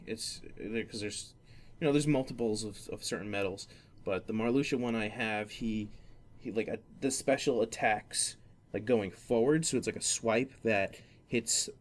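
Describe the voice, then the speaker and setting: A man with an average-pitched voice hosts a laid-back gaming podcast. He talks off the cuff in a rambling, hesitant way. He often doubles back to correct himself as he explains. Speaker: podcast host. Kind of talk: casual gaming podcast talk.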